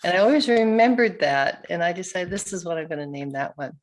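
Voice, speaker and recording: Older woman, from New York, lowish voice, chatty, self-deprecating, casual video call. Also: lively intonation, fairly quick. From New York, United States. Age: older, around 70s. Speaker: author, interview guest. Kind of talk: casual chat. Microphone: video call microphone.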